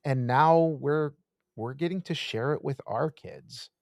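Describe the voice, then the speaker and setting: A man's voice: on the high side, measured pace, creaky, darkish tone, no echo, clean studio sound. A man in his thirties co-hosting a podcast, talking in a laid-back way with many fillers. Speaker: podcast co-host. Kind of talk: casual chat.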